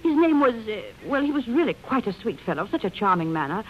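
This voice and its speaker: A woman's voice: a little high, very animated, fast talker. A woman playing a grand, gushing society lady in a 1930s radio drama, theatrical and cajoling. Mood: coaxing, sweet. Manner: affected, theatrical. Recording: muffled, hissy 1930s radio recording.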